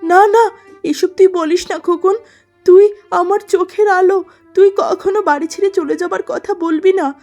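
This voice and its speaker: A woman's voice: extremely high pitch, fairly even intonation, moderate pace, darkish tone, soft background music. A woman voicing a kind, doting village stepmother in a melodramatic cartoon, tender and imploring. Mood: tender, pleading. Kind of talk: melodramatic cartoon story acting.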